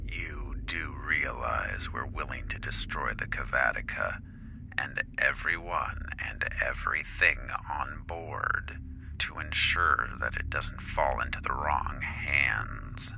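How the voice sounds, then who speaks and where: A man playing a menacing, self-important corporate counselor in an audio drama, deliberate and imperious, heard through a radio transmission with interference. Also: very deep voice, sing-song, bright, forceful tone, heavily acted. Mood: accusing, threatening. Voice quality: gravelly. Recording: faintly noisy, distorted radio transmission.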